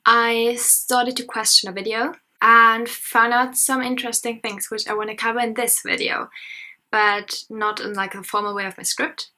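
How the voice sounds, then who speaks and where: Bright-voiced nineteen-year-old woman, German accent. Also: mid-range voice, poor audio. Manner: self-conscious, chatty, many fillers and restarts. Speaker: history and sociology student. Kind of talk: unscripted casual vlog commentary. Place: her parents' house at night.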